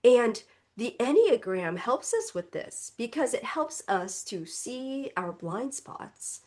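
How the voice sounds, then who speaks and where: A woman reverend gives a slow, contemplative spiritual talk in a congested, stuffy voice. She speaks gently and reflectively, and often adds a soft "right?" as she explains. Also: a little high, sing-song. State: congested, recovering from illness.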